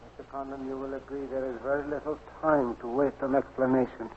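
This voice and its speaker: An actor playing a grave, dignified Japanese prince in a radio drama, speaking formally and solemnly in 1940s acting style. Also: male voice, medium pitch, flat delivery, brisk, a little hiss or hum, lightly acted. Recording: muffled, phone-like 1940s radio recording.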